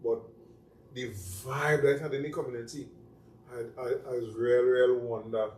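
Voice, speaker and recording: Man, Trinidadian accent, middle-aged, unhurried musing talk, roomy echo, set back from the mic. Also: mid-range voice, steady tone, a little mellow. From Trinidad and Tobago. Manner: reflective, loose and repetitive. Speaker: host. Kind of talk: casual chat. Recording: roomy sound, mic at a distance, faint noise.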